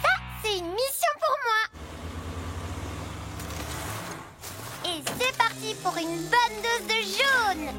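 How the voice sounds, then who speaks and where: An excitable little truck kid from a children's cartoon, piping very high and bouncing through the melody of each line. The delivery is eager and playful, with loud music underneath. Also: a little slow. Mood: excited. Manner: exclamatory, eager.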